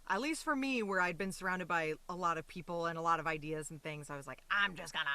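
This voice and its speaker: A woman's voice: on the low side, fast talker, bright, forceful tone, clear, resonant tone, dry recording. A woman talking casually and animatedly as an interview guest on a podcast, telling her own stories.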